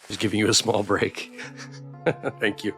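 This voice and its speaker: Creaky-voiced man streaming a game. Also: on the low side, flat delivery, measured pace, a bit bright. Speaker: livestreamer, game playthrough host. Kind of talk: live game stream, reading story text aloud with chat asides. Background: background music.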